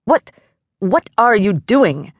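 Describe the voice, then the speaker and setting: A woman narrator plays a pompous male dowser in a storybook. He talks in fussy, formal phrases and gets flustered and excitable. The delivery is unhurried and the sound is close and dry. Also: lowish voice, dry recording. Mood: indignant. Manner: wordy, formal diction.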